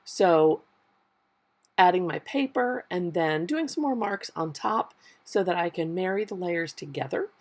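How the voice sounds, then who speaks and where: Hoarse woman narrating an art tutorial. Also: lowish voice, a little slow. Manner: relaxed, thoughtful explaining with fillers. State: hoarse from a summer cold. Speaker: art journal teacher. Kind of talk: voiceover craft tutorial. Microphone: voiceover mic.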